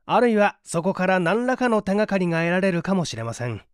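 A man's voice: sits high, sing-song, quick pace, no echo, studio quality. A man voicing a composed, analytical detective doctor in an audiobook, precise and polite as he reasons. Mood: probing. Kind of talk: audiobook character reading.

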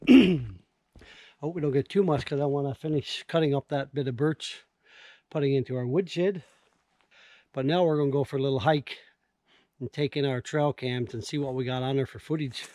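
A man's voice: mid-range voice, moderate pace, long pauses, warm tone, no echo, clean studio sound. An older man from Newfoundland with a local accent, talking plainly through his cabin chores into a handheld camera outdoors. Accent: Newfoundland English. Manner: plain, matter-of-fact explaining of chores. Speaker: outdoor vlogger. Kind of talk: casual vlog narration. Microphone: action camera held at arm's length.

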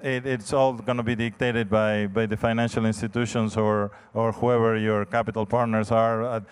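Middle-aged man with a smooth clear voice. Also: fairly low pitch, flat delivery. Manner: hesitant, many restarts, measured. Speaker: real estate developer panelist. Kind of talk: panel discussion answers. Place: large conference stage. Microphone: handheld mic.

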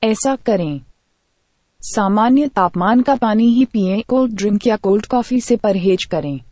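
Woman reading a health voice-over, dark-toned. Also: mid-range voice, unhurried, no echo. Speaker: health segment narrator. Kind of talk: scripted voice-over narration.

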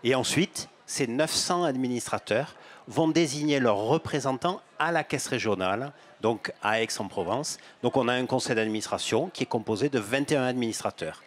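A man in his fifties, a bank executive, giving measured explanatory answers in an interview at a fair stand, with some hesitations and repeated words. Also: mid-range voice. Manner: gestures broadly while talking. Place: outdoor stand at an agricultural fair, on a sofa. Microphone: handheld microphone.

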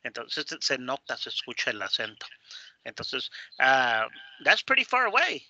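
A man's voice: medium pitch, very animated, a little slow, pushed and bright. A man teaching English online, explaining a dialogue and often repeating words as he searches for the next. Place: online video class, close dry mic. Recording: dull lo-fi online class audio.

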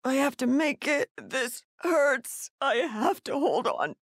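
A woman playing a frightened, hurt mother who searches desperately for her lost son, muttering haltingly to herself as in a survival horror game. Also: high voice, very animated, no echo, studio quality, heavily acted. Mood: pained, determined.

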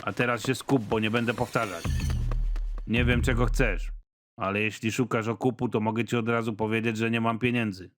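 A man voicing a tough ex-military father who delivers threats in a flat, deadpan way. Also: on the low side, moderate pace, faint music behind, lightly acted.

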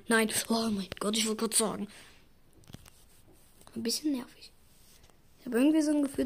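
A hoarse young woman giving casual gaming commentary, excitable and yelping at results, slipping into a raspy near-whisper when she talks quietly. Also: on the high side. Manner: excitable, shrieks and groans at spin results. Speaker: gaming YouTuber.

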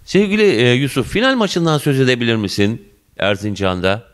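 A man in his fifties interviewing a student in a school office, effusive and warm in address, holding a handheld mic. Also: mid-range voice, lively intonation, a little fast, clean tone. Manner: effusive, addresses viewers and guest as "dear". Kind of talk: local TV interview.

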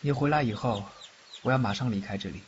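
A man acting a fugitive underworld official and doting, cocky godfather in a fan audio drama, expressive and protective. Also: mid-range voice, quick pace, lightly acted. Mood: grave, cautioning. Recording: muffled, faint background noise, rain-like ambience.

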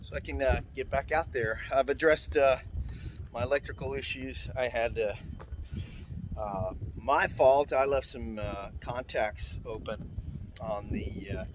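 A man's voice: medium pitch, a little slow, background noise. A middle-aged man vlogging from his sailboat at a marina. He talks casually and rambles, with lots of "uh"s and restarts. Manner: relaxed, rambling with many fillers. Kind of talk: casual vlog narration.

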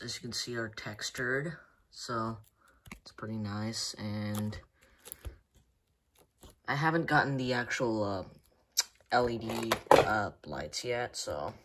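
A man hosts a casual show-and-tell vlog, speaking slowly in a hesitant, soft-spoken way. His pitch sits in an ordinary middle range. He is recorded indoors at home on a handheld phone camera. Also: slight background noise. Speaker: hobby channel host.

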